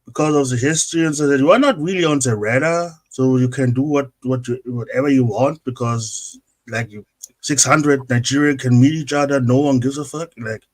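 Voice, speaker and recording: A man's voice: mid-range voice, fairly quick. A man who grew up in Germany, chatting casually on a podcast and telling stories with voices for the people he quotes. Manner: animated storyteller who acts out quotes. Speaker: podcast panelist. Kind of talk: casual chat.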